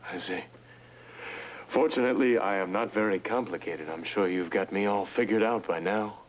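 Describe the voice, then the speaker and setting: An actor playing a polite, reserved man speaking quietly with a doctor. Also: fairly low pitch, normal speed, a little hiss or hum, close to an everyday voice, poor audio. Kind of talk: 1980s TV soap acting.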